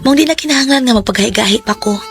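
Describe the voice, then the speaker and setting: A woman's voice: a little high, very fast, natural voice. An actress playing a guarded young woman who has been hurt before, speaking with a wistful, wary tone in a radio drama. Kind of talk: Cebuano radio drama acting. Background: soft background music.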